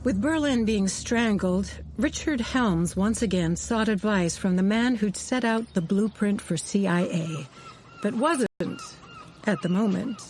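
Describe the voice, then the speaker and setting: An actress playing a woman intelligence veteran, narrating her memoirs in a measured storytelling manner for a radio drama. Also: medium pitch, lively intonation, a little mellow, a little hiss or hum, close to an everyday voice. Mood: measured, wry. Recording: studio voice over prominent music.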